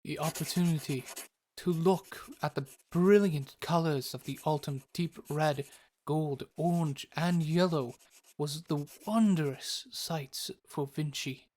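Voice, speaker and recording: A man playing a measured, literary storyteller, reading scripted narration for a fantasy audio drama. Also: on the high side, darkish tone, dry recording. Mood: measured, wistful. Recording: music under the voice.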